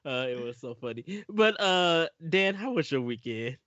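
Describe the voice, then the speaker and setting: A man co-hosting a podcast, leading the talk in a lively way and laughing easily. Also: fairly high pitch, moderate pace, no echo, lo-fi sound. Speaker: podcast co-host.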